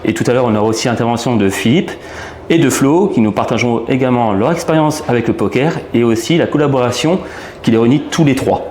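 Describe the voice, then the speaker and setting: A man's voice: medium pitch, fast, darkish tone. A man hosting a video channel who introduces the episode and interviews guests in a friendly, lightly teasing way. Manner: upbeat and friendly, casual teasing questions. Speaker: YouTube channel host and interviewer. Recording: roomy room with faint noise.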